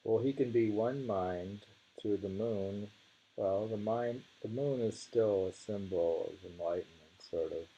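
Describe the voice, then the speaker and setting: A man with a gravelly, husky voice reads translated poems aloud and muses over them informally, deliberate with the verse and tentative in his asides. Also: on the low side, warm tone, muffled recording. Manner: deliberate delivery when reading, musing and tentative in asides. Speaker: reader of poetry.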